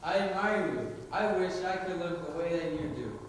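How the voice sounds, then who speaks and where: A mild, well-mannered young gentleman played by a teenage boy speaks in a fairly high male voice. He is earnest and timid in this stage play, and the sound is very echoey, caught from a distance. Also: expressive, moderate pace, lightly acted. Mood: admiring. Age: teens. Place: large indoor school performance space. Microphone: distant camera or room microphone. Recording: echoey, noisy hall, recorded from far off.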